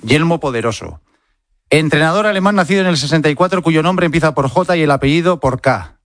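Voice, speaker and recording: A man's voice: on the high side, expressive, a little fast, fairly bright tone, no room sound, clean studio sound. A man with an accent from Spain putting informal, playful quiz questions as a radio interviewer. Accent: Spanish, from Spain. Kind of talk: quick-fire quiz interview.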